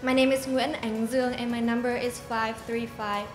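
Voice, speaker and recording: A woman's voice: a little high, flat delivery, normal speed. A twenty-one-year-old woman, a native Vietnamese speaker, giving an earnest, composed speech as a pageant contestant. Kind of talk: prepared self-introduction speech. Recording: echoey hall with loud music.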